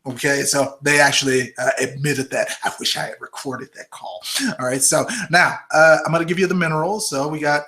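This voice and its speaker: A man in his forties hosts a live webinar from his computer mic. He answers viewer questions in a loose, chatty way and keeps tagging "okay?" onto his points. His voice sits a bit high and sounds sharp and forceful. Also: little pitch movement, fairly quick. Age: 40s. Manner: chatty, peppers sentences with "okay?" and "all right?". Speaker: host of a live health webinar. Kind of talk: casual live-stream Q&A. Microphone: computer microphone.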